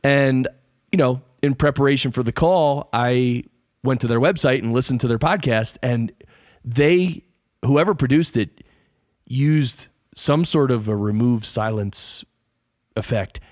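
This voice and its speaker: Animated male podcast host. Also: medium pitch, a little slow, dry recording, studio quality. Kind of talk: casual interview.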